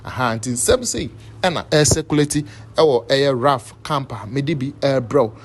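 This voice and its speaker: A man's voice: medium pitch, animated, a little slow, breathy edge. A Ghanaian man presenting news commentary informally, repeating phrases and mixing in English words. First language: Twi.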